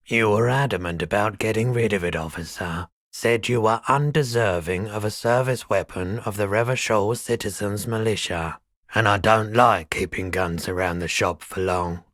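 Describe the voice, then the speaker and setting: A man playing a weary, gentle, eccentric middle-aged pawnshop keeper in a video game, with a laid-back, polite and musing manner. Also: on the low side, dry recording, studio quality. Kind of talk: video game character dialogue.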